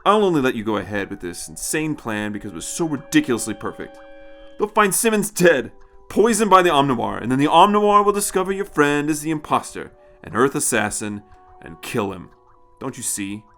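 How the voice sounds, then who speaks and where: A man acts out a villainous space colonel from an audio sci-fi story. He is sneering, fanatical and menacing, delivering his lines with deliberate contempt. The pitch swings widely, and music plays faintly behind. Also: very animated, fairly bright tone. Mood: gloating. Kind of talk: audio short story character.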